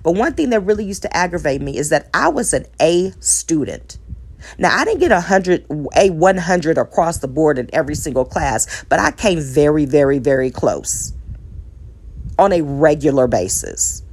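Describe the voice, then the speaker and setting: Earnest woman with a lively, preacher-like cadence. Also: low-pitched, moderate pace, breathy edge. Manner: self-deprecating asides. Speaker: single mother giving relationship advice. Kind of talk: reflective monologue.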